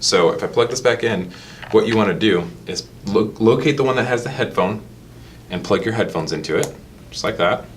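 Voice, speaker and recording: A man's voice: on the low side, a little fast, a little hiss or hum. A man giving a casual instructional product tutorial, explaining things step by step. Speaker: product demonstrator.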